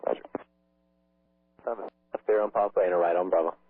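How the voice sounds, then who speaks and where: A man working as a tower controller, issuing procedural landing clearances over aviation radio. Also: sits low, fairly even intonation, normal speed. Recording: narrowband radio with static and clicks.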